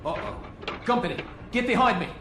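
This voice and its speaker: An actor playing a wry, grumbling sidekick with an exasperated, informal manner in an audio drama. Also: male voice, sits high, expressive, brisk, creaky, very noisy. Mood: wary, wry.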